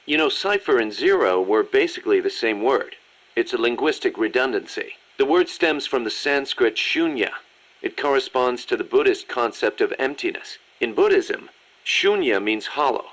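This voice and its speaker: A man's voice: mid-range voice, lively intonation, moderate pace, a bit bright, poor audio. A man playing a proud, loyal ex-soldier second-in-command, speaking earnestly and reflectively in a game audio drama. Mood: musing, explanatory.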